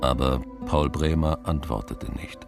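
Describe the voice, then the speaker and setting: A man narrating a radio crime drama as a sober, grave storyteller in a measured scripted style. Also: extremely low pitch, creaky, music in the background, exaggerated voice.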